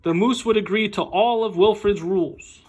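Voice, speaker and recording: A man reading a picture book aloud expressively, with animated, deliberate phrasing and dramatic pauses, like a school staff member at storytime. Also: high-pitched, dull audio, slight background noise.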